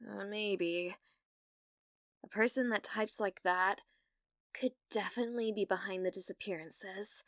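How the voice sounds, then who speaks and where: A British woman playing a needy, awkward young gamer in an ASMR roleplay, with a halting, nervous manner. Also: mid-range voice, dry recording, clean studio sound, lightly acted. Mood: wary, determined. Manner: halting, flustered, breathy laughs and hesitations.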